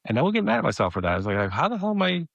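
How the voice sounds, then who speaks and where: A man's voice: fairly high pitch, huge pitch swings, rapid-fire, vocal fry, fairly bright tone, no room sound, muffled recording. A middle-aged man from Mexico whose first language is Spanish, speaking as a guest and often hesitating and restarting as he tells his story. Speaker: podcast guest. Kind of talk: personal storytelling in conversation.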